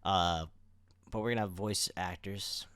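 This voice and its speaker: A man's voice: low voice, fairly even intonation, measured pace, a bit bright, clean tone, dry recording. A young man co-hosting a podcast in casual chat, now and then addressing listeners like an announcer. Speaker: podcast co-host.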